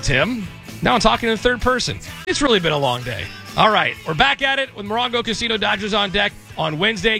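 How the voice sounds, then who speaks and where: A man hosting a sports call-in radio show, energetic and hoarse from losing his voice. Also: on the high side. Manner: energetic, pep-talk delivery.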